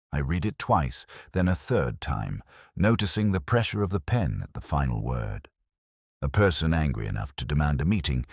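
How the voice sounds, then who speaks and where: A man performs a melancholy detective telling his case as scripted mystery narration. The voice is extremely deep yet moves expressively in pitch, close and dry in sound. The phrasing is deliberate, literary and brooding. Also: breathy edge, dry recording, full character voice.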